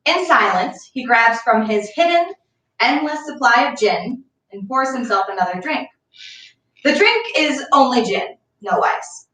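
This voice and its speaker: A woman narrates stage directions from a script, slowly and with a clearly bright, slightly high voice. She sounds set back from the microphone in a reverberant room. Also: expressive. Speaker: stage directions reader at a play reading. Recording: echoey room, far from mic.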